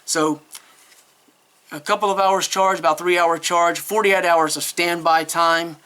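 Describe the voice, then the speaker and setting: High-voiced man casually reviewing a product. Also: steady tone, measured pace, pushed and bright, smooth. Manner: rambling, hesitant, self-correcting.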